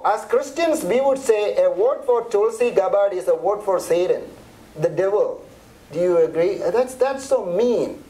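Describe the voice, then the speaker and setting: Middle-aged Indian-accented man, fairly high voice, relaxed seminar lecturer who talks in a chatty way and restarts often. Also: poor audio. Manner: chatty, conversational, many restarts and fillers. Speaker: seminar presenter.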